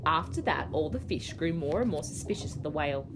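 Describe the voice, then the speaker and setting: A woman with an Australian accent reading a children's story aloud as a teacher, in an expressive storytelling manner. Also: low voice, brisk. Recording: clear background noise.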